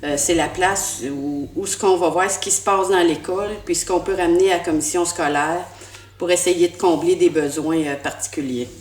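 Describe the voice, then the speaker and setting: A woman's voice: lowish voice, fairly even intonation, normal speed, a little hiss or hum. A middle-aged woman with a Québécois French accent answers interview questions as a school board candidate, earnest and often restarting her words with "euh" fillers. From Quebec, Canada. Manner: earnest, repeats words while searching, many "euh" fillers. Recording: echoey room.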